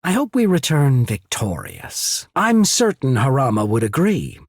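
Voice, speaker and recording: An actor playing a cool, shrewd, courteous military official in a video game, speaking in a measured, formal, husky way. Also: male voice, medium pitch, wildly swooping pitch, no echo, clean studio sound, heavily acted. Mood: businesslike, courteous. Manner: measured, formal, subtly calculating. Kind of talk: scripted game character dialogue.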